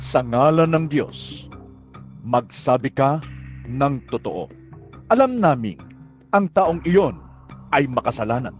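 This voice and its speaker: A man playing a stern, accusing religious leader, emphatic and drawn-out, in an audio drama. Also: mid-range voice, expressive, faint music behind. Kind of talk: Tagalog audio Bible drama.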